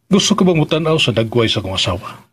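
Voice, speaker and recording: Grieving, confiding Visayan widower. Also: male voice, mid-range voice, sing-song, husky. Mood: wistful. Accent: Visayan Philippine. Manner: halting, sentimental, confiding. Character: grieving widowed master of the house, a father, gentle and earnest. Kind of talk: Filipino radio drama acting.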